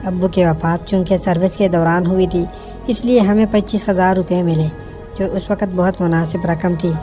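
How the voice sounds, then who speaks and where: A woman's voice: lowish voice, mellow. A woman reading a scripted first-person narration as a storyteller.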